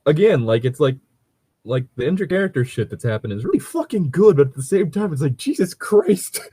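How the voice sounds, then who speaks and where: A man with a husky voice and a lively, swinging pitch chats casually. He rambles through his commentary and swears freely, recorded close and dry. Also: brisk, no room sound.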